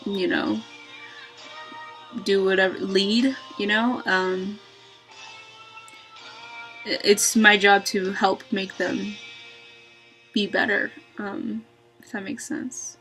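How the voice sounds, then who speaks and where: A young woman talking to her webcam in a small bedroom, thinking aloud with many pauses and ums. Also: steady tone, a bit bright, faint music behind, low-quality recording. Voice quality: low-voiced for a woman, by her own account. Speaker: vlogger. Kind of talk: reflective casual monologue. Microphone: webcam at close range.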